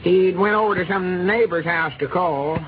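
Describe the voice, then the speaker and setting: A man's voice: high-pitched, moderate pace, lightly acted. An actor playing a folksy old country man with a rural Arkansas drawl in a 1930s radio comedy. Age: older. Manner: drawling backwoods dialect, reassuring. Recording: tinny old radio transcription with hiss.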